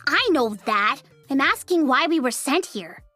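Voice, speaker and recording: Feisty, high, bright young soldier girl. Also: lively intonation, measured pace, no room sound, soft background music, heavily acted. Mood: exasperated, grumbling. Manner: brash, exasperated, sarcastic. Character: feisty, irritable young female android soldier. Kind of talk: anime game voice acting.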